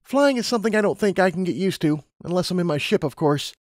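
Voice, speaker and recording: A man acts out a young female unicorn pilot in a storybook, anxious and determined. The voice is lifted well up in pitch. It pushes forward with urgent insistence, in a dry, close recording. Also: expressive, fast talker, no echo.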